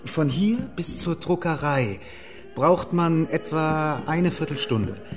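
A sober, methodical investigator in a historical radio drama. His voice sits a little high and he reasons precisely, with faint music underneath. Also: slow pace. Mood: reasoning. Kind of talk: radio play acting.

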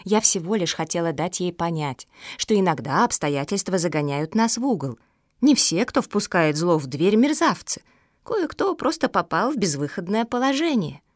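A woman voicing a sharp-tongued, cynical female squirrel in a storybook, domineering and insinuating. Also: lowish voice, big pitch swings, normal speed, no echo, studio quality. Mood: curt, lecturing. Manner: insinuating, triumphant, interrogating.

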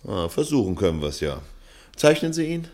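An actor in a radio drama playing a worried newspaper editor with a dry, resigned manner. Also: male voice, fairly low pitch, sing-song, moderate pace, a little mellow. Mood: businesslike. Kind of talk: German radio drama acting.

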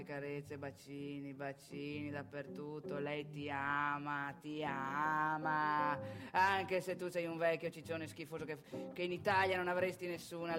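A man's voice: fairly high pitch, close to an everyday voice. An actress voicing a crude, defiant Italian man in an emphatic theatrical monologue. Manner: emphatic, repetitive, bursts into shouts. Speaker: actor in a solo stage monologue.

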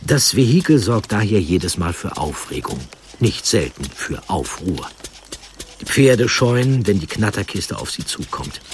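A man reading scripted story narration in deliberate, dramatic short phrases. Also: on the low side, very animated, a little hiss or hum.

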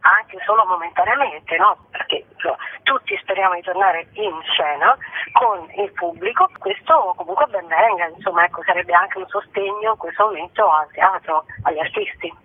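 A woman's voice: on the low side, expressive, moderate pace, slightly rough. A woman speaking as a theatre artist in a radio interview, explaining things spontaneously and conversationally. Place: phone call to a radio show. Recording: thin, tinny phone line.

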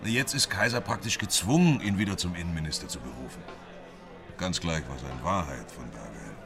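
An actor playing a sardonic, level-headed police investigator in a radio drama, talking in a dry, casual way. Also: male voice, low voice, lively intonation, fairly quick, a crowd in the background. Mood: pensive. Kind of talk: radio drama, conversational dialogue.

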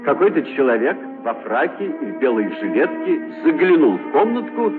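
A man narrating a children's story in an expressive, scripted storytelling style. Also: fairly high pitch, moderate pace, narrow, tinny audio, over music.

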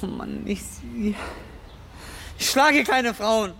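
A man's voice: very high-pitched, takes it slowly, creaky, exaggerated voice. A man acting a panicked, distraught young son in a radio crime drama. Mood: panicked, remorseful. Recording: loud noise with clicks and thuds.

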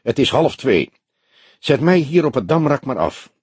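Man reading as wry old detective, husky voice. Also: medium pitch, very animated, a little mellow, no room sound, voice pushed up. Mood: shrewd, measured. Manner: mocking, probing, deliberate. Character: old grizzled police detective, teasing, wry, shrewd.

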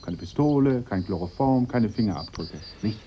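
A man's voice: on the low side, animated, moderate pace, close to an everyday voice. A man playing a deferential, matter-of-fact police sergeant in a German radio drama. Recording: muffled radio recording, faint noise.

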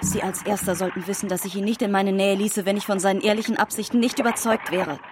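A woman's voice: mid-range voice, fairly even intonation, fast, noisy background, close to an everyday voice. A woman playing an earnest young lady. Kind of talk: radio play acting.

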